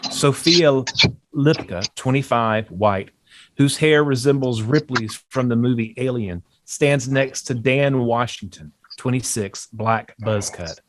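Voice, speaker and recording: A man reading stage directions as scripted narration at a table read. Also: mid-range voice.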